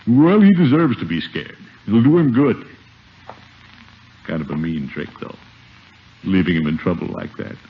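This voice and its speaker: Brief: pompous, soft-hearted middle-aged uncle, swooping theatrical delivery, dull old radio comedy recording. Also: male voice, low voice, a little hiss or hum, full character voice. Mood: conflicted. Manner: blustery, theatrical, exclamatory. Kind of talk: 1950s radio sitcom acting.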